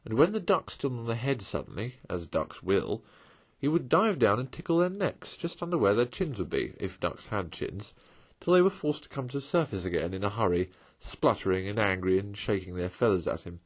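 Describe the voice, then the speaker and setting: A man reading a classic children's storybook aloud in a lively way. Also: lowish voice, normal speed. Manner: lively, sings a verse in the story. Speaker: audiobook reader.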